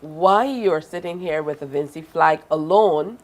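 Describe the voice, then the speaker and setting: A woman's voice: sits low, measured pace. A woman hosting a morning talk-show interview, playful and teasing with her guests.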